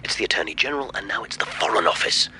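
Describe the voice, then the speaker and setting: Stern police chief, very low forceful voice, urgent, insistent, noisy background, radio drama. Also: male voice, expressive, very fast, full character voice. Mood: urgent, impatient.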